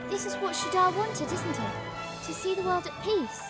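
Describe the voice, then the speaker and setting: Kind sincere game girl, really high voice, music behind. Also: moderate pace. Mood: gentle, thoughtful. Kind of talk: animated fantasy game acting.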